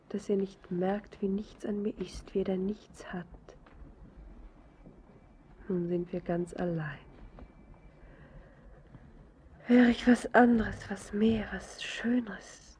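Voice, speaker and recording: Over faint noise, an actress plays a tender young bride-to-be with a soft, mellow timbre. She speaks slowly in breathy, halting phrases, full of wonder and self-doubt, as in classic radio drama. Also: medium pitch, fairly even intonation, lightly acted. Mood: shy longing.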